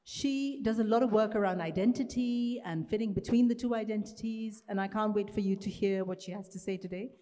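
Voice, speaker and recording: A woman's voice: medium pitch, brisk, few pauses, warm tone. Lady moderator at a live panel, upbeat, big reverby hall sound. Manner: upbeat, laughs at her own stumbles. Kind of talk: live panel introductions and questions. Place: live event hall with audience.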